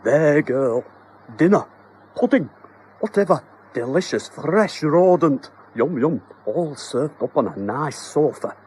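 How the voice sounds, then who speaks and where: A man's voice: on the high side, expressive, slow, darkish tone, a little hiss or hum, pitched above the performer's own voice. Man doing a flustered husband feigning sternness. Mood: coaxing. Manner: mock-stern, pleading. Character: flustered middle-aged husband trying to be stern.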